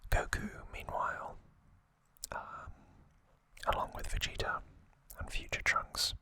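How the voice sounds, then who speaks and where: A man giving an ASMR recap in a breathy, unvoiced whisper, speaking softly in short, deliberate phrases. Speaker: ASMR channel host.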